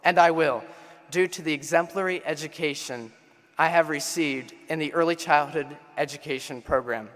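A man's voice: fairly high pitch, moderate pace, fairly bright tone. Young man's rousing graduation speech, echoing hall. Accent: Pennsylvania American. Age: young adult. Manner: rousing, builds to call-and-response refrains. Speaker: class president giving graduation speech. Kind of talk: formal commencement speech. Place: large auditorium stage at a lectern. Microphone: podium microphone. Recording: long hall reverb.

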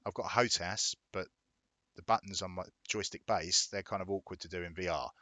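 A man with an English accent hosting a casual software tutorial, chatty and informal, explaining things step by step. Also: low-pitched, fairly even intonation, brisk, dry recording. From England. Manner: chatty, informal, repeats words for emphasis. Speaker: tutorial host.

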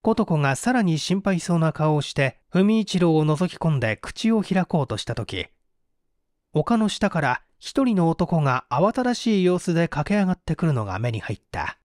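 Expressive male audiobook narrator, dry sound. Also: a little high, normal speed, dry recording. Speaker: audiobook narrator, stage actor. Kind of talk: scripted literary narration.

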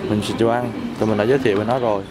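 A young man hosting a casual cooking vlog, explaining step by step in a relaxed, chatty way in his home kitchen. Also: fairly low pitch, racing, a loud crowd. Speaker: vlog host.

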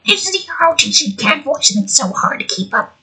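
A man putting on a strained, throat-clenched falsetto cat-like voice in a playful, self-mocking way. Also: very animated, brisk, crowd noise, poor audio. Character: squeaky cat-like character voice.

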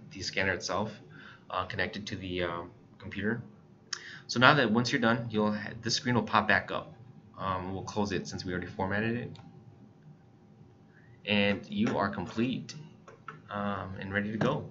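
A low-pitched American man with a bright, forward edge to his voice presents a casual software tutorial. He gives plain, step-by-step instructions. The recording is a little dull and lo-fi. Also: slight background noise.

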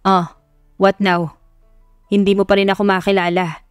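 A woman's voice: mid-range voice, flat delivery, normal speed, clean tone, studio quality, lightly acted. Woman playing a haughty, exasperated male billionaire. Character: haughty, exasperated male billionaire, formal English-Tagalog speech. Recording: roomy echo, music bed behind.

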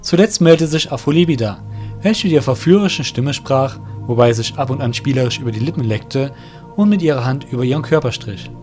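A man's voice: mid-range voice, animated, a little fast, a little mellow, soft background music, lightly acted. A man reading scripted narration as the storyteller of an audio drama.

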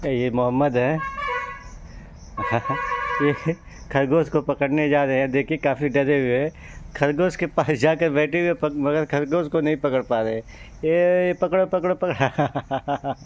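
A man's voice: a little high, slow, long pauses, highs cut off, slight background noise. A man filming a child outdoors in a rural courtyard, narrating casually and cheering him on with laughs. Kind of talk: casual home-video commentary. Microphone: phone camera held by the filmer.

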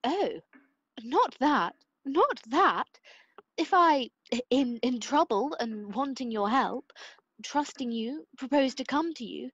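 A woman playing an upright politician's wife, high-voiced and anxious. It is period radio drama, recorded dry and slightly dull. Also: expressive, takes it slowly, fairly bright tone, husky, no echo, exaggerated voice. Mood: anxious, pleading. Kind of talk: amateur radio play acting of a period comedy.

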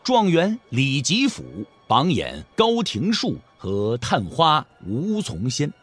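A man's voice: on the high side, huge pitch swings, slow, husky. A man narrating a dramatic audio drama as a scripted storyteller. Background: background music.

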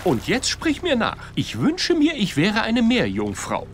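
An actor voicing a sly, bossy talking fish in an animated audio play, with a theatrical, sarcastic, condescending delivery. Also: mid-range voice, a little slow, slight background noise. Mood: impatient, commanding. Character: sly, bossy, mocking talking fish scheming in undersea adventure.

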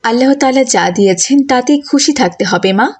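A woman voicing a kind, patient schoolteacher in a cartoon, gently giving moral instruction. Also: a little high, animated, a little fast. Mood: patient, instructive. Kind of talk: cartoon moral-tale dialogue.

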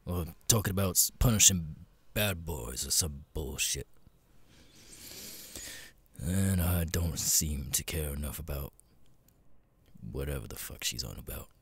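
A man voicing a gruff, jaded cat demon bartender in an ASMR roleplay, muttering and swearing wearily with hesitant pauses in a deep, gravelly rasp. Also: animated, no room sound, full character voice. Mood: grumbling.

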